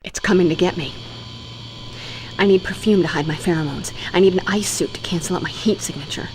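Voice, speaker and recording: Woman acting a haughty, anxious lady, lowish voice. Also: fairly quick, close to an everyday voice. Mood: panicked. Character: haughty, frightened, demanding crime-family lady. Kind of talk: scripted video game cutscene acting. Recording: noisy game cutscene audio.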